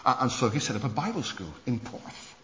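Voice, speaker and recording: A man preaching at a conference, telling church history stories in an animated way with many restarts. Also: mid-range voice, fairly quick, a little hiss or hum. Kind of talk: sermon lecture on church history. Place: conference hall. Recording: muffled hall recording with long reverb.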